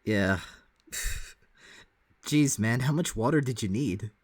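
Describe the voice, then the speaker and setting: A man's voice: mid-range voice, takes it slowly, breathy edge, dry recording, clean studio sound. A man co-hosting a reaction show, commenting in a casual, chatty style.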